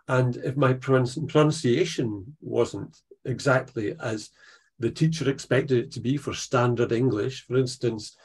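A man in his sixties with a Dundee Scots accent, a poet leading an online writing workshop over a video call from an attic room at home, thoughtful and unhurried, with frequent restarts. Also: medium pitch, husky. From Scotland. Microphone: video call, laptop camera.

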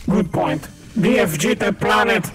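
High-voiced Venezuelan man streaming a game. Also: animated, a little slow. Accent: Venezuelan Spanish, from Maracaibo. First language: Spanish. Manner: chatty, laughs often, calls out enemies. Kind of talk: casual co-op gaming chat. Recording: game music playing loudly behind. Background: game music.

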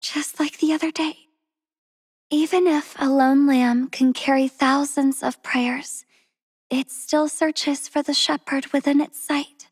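A soft, gentle young healer. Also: female voice, high voice, level tone, no room sound, clean studio sound. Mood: tender. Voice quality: soft, breathy and whispery. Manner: slow and tender. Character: gentle devoted young woman healer, soft-spoken and tender. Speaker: voice actress for a game character. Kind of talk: game character voice lines.

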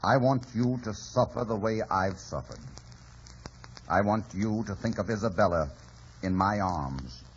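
An actor playing a passionate, brooding and vengeful lover with sardonic menace, in an intense theatrical old-time radio drama style. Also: male voice, lowish voice, expressive, unhurried. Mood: bitter, vengeful. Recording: muffled old radio broadcast with hiss.

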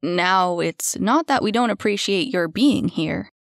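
A woman's voice: medium pitch, animated, a little slow, dry recording. A woman reading a fussy, flustered man in an audiobook, stern but kindly. Her own voice is barely changed, dry and close.

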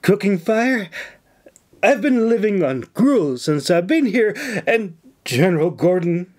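A man's voice: sits high, expressive, a little slow, a little mellow, pitched above the performer's own voice. A man voicing a scheming woman in an audiobook, plaintive and melodramatic as she pleads. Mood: sniffling, pleading. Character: scheming female dragon keeper, tearful, pleading, sweetly flattering. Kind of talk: audiobook character voice.

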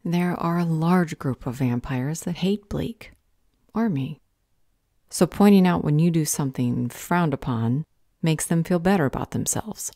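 Woman playing a low, mellow motherly vampire healer. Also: unhurried, no room sound, studio quality. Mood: patient, evasive. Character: protective motherly older female vampire healer, calm, caring mentor. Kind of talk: audio roleplay acting.

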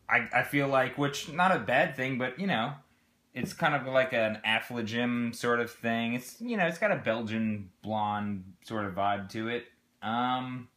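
A man's voice: medium pitch, fairly bright tone. A young man reviewing a beer in casual chat, laid-back and swearing now and then, recorded by a camera on the table in a home living room. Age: young adult.

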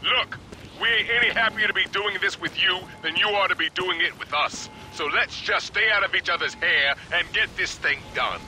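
A man voicing a tough, no-nonsense mercenary soldier in a video game, with gruff, blunt military talk. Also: high-pitched, moderate pace, slight background noise, low-quality recording. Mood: irritated. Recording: loud game music and gunfire behind.

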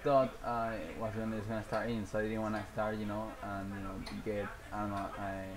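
A man's voice: lowish voice, little pitch movement, a little fast, crowd noise. A man chatting casually on a livestream as a gaming streamer, rambling and hesitant and often repeating himself.

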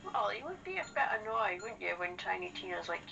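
A woman's voice: mid-range voice, big pitch swings, fairly quick, creaky, bright, forceful tone, highs cut off. A woman chatting casually and bluntly as co-host of a gaming session, swearing now and then. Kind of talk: casual chat during a video game session. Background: video game music.

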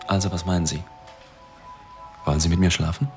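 A man's voice: deep voice, fairly even intonation, brisk, loud music behind the voice. An actor playing a wry, divorced newspaper reporter who narrates his own story in a radio drama. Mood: wry, flirting.

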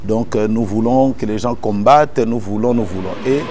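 A middle-aged man born in Kinshasa, a gospel singer and pastor, calmly explaining events in a sit-down interview and retelling them with repeated phrases. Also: lowish voice, normal speed, slight background noise. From born in Kinshasa, Congo. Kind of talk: calm explanatory interview answers. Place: indoor room with potted plants.

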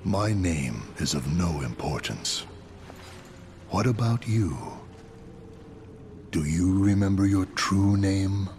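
A man voicing a grave, enigmatic leader of a hooded order in a game cutscene, solemn and measured, cold and calm. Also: sits low, noisy background, exaggerated voice. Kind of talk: video game cutscene acting.

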